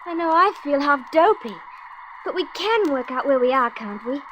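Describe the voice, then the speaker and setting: Anxious high-voiced girl space traveller. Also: animated, moderate pace, a bit bright. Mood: earnest. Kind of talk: old-time radio drama acting. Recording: noisy vintage radio recording.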